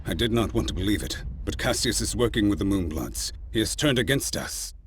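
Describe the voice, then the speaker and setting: An actor playing a dutiful, wary armoured commander in a video game, formal and military. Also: male voice, on the low side, animated, brisk, a little hiss or hum, lightly acted. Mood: concerned. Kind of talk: dramatic video game acting.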